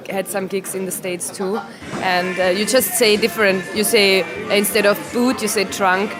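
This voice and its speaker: Austrian-accented woman comic, mid-pitched and laughing, interviewed at a venue with music playing loud. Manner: laughs often, halting non-native phrasing. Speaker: stand-up comedian, interview guest. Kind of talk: casual interview answers.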